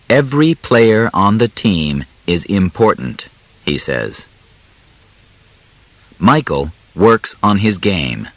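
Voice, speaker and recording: Slow, lively low-voiced male audiobook narrator. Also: no room sound, poor audio. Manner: deliberate, clearly separated short phrases. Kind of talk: scripted storybook narration in simple graded language.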